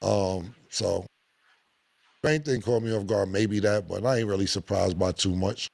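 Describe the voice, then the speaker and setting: Blunt gravelly New podcast host. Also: male voice, normal speed, no room sound. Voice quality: deep voice. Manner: blunt, animated, swears freely. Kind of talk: casual opinionated podcast talk.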